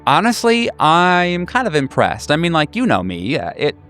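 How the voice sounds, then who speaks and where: Man playing an effusive, stammering middle-aged friend, lifted pitch. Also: huge pitch swings, normal speed, a bit bright, soft background music. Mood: gushing.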